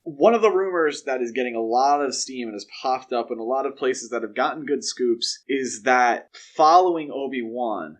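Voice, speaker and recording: A man co-hosting a podcast, discussing film news casually in a speculative, lightly joking way. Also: mid-range voice. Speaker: podcast co-host.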